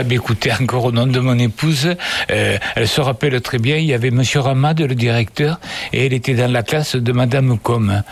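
Older guy w telling old school stories, slow and polite. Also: mid-range voice. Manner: unhurried, storytelling, addresses children politely. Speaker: interviewee. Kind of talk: reminiscing interview answers.

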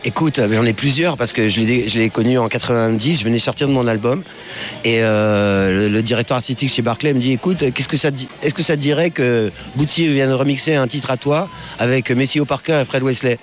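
A man's voice: mid-range voice, fairly quick. A middle-aged man, a musician guest on radio, telling anecdotes in a casual, slangy, colloquial way. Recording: faint music under the voice.

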